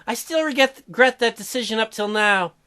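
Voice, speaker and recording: Teenage girl, played by a man, high and pleading, stubborn and caring, bright dating-game heroine. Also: a little fast, clear, resonant tone. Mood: pleading, apologetic. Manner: pleading, high-pitched, earnest.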